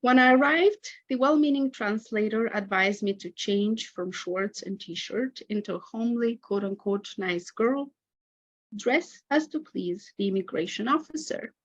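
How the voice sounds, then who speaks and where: A woman's voice: mid-range voice, a little slow. A woman in her thirties from Moldova with a Moldovan accent, whose first language is Romanian, giving a reflective, prepared academic conference talk about her own life. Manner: reflective, reads a prepared personal account. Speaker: conference presenter. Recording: dull lo-fi recording.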